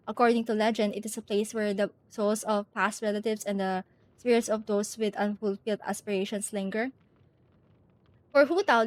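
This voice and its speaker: A woman's voice: medium pitch, no room sound. A woman hosting a livestream, talking informally and thinking aloud, moving between casual chat and reading stories aloud. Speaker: livestream host. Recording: music behind the voice. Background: music.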